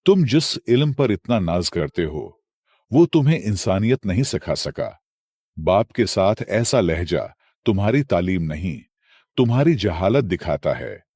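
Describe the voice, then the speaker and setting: A dutiful, heartfelt younger son as a male narrator plays him in a moral tale. The voice is very low and expressive, with earnest appeal. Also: a little slow, slightly rough, no room sound, studio quality, exaggerated voice. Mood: indignant. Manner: pleading, earnest.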